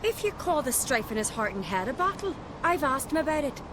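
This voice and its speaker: Higher-voiced, headstrong young noblewoman. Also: big pitch swings, a little fast, background noise. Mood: earnest, convinced. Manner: frank, earnest storytelling. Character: earnest, headstrong young island noblewoman from a fantasy realm. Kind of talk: video game cutscene acting. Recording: music behind the voice.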